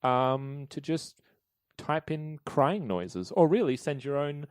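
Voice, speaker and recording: A man's voice: a little high, animated, slow, clean tone, dry recording, studio quality. Man host steering the podcast segments. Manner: steers the show, hesitant fillers. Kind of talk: casual chat.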